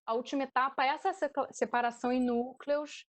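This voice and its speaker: A woman's voice: high voice, steady tone, brisk. A woman with a Brazilian accent, speaking as a theatre director and guest, explaining things off the cuff in an online debate.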